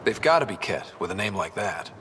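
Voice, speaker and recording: A man playing a steady, determined young human explorer leader with dry humor in video game cutscene dialogue. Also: deep voice, fairly quick, noisy background. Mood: grim.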